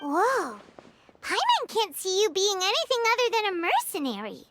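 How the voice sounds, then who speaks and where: A tiny, chirpy fairy sidekick from an anime game. The voice is extremely high, squeaky and childlike, swooping around excitedly over heavy background noise. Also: female voice, normal speed, exaggerated voice. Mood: surprised. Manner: exclamatory, speaks of self in third person. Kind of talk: English anime game dub acting.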